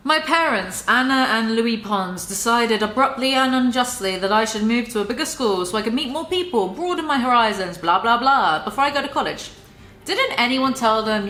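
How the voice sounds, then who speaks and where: A woman's voice: fairly high pitch, fairly bright tone, a little hiss or hum. A woman reading a book aloud in a mock-theatrical way and breaking off for sarcastic commentary. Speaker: YouTuber reading and mocking a book. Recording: roomy echo.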